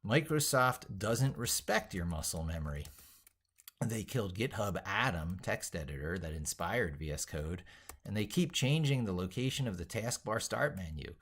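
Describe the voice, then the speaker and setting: A man thinking aloud as he drafts an opinionated tech piece, halting, repeating and reworking his phrases with emphatic points. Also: on the low side, lively intonation, a bit bright, breathy edge. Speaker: tech educator and video creator.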